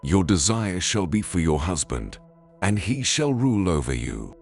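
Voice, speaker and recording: A man's voice: low voice, very animated, moderate pace, creaky, full character voice. A man playing God as a stern, solemn and authoritative judge in a dramatized Bible reading. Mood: solemn condemning. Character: God, stern commanding male judge pronouncing curses. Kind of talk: dramatized Bible audio acting. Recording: background music under the voice.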